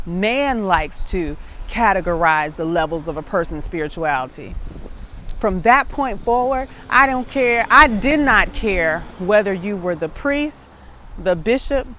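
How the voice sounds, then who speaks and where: A middle-aged woman with an American accent speaks as a show host telling personal stories. Her voice sits at an average pitch. She talks conversationally and with emphasis, often checking in with the listener. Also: fairly bright tone, slight background noise. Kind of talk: personal storytelling about life and faith.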